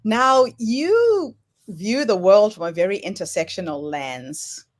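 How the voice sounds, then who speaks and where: A woman's voice: mid-range voice, very animated, slow pace. A woman hosting an interview, asking questions and giving short reactions. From Africa or Australia region. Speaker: interview host.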